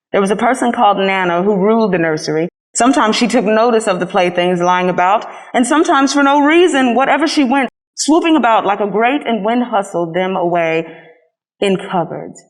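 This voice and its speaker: A woman's voice: mid-range voice, rough recording. A woman reading a children's story aloud as a scripted narration. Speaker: storybook reader.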